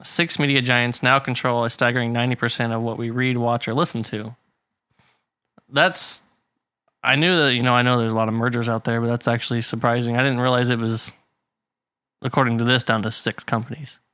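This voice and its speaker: Man, podcast co-host, relaxed chatty delivery, dry close mic. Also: medium pitch, fairly quick, smooth, dry recording. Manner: chatty, hedging, laughs often. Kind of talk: casual chat.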